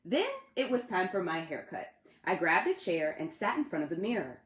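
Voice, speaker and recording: A woman reads a picture book aloud as a children's author at storytime. Her delivery is animated and dramatic, with the pitch moving freely. The sound is roomy and echoey. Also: moderate pace.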